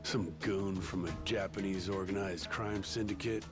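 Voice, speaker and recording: A man playing a gruff, suspicious middle-aged barkeep in a video game, with a sardonic, grudging manner. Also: sits low, steady tone, normal speed, music in the background, natural voice. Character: gruff, suspicious barkeep father, former treasure hunter. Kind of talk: English video game voice acting.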